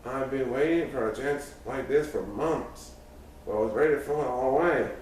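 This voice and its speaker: A man's voice: medium pitch, normal speed, a little mellow. A man reading a first-person youth story aloud as scripted narration, now and then stumbling over a word. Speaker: audiobook reader. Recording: very echoey room, distant mic, faint noise.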